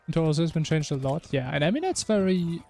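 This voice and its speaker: A man's voice: fairly high pitch, animated, a little fast, dark tone, soft background music. A man streaming a video game, chatting casually and musing in a rambling way. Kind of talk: casual chat while gaming.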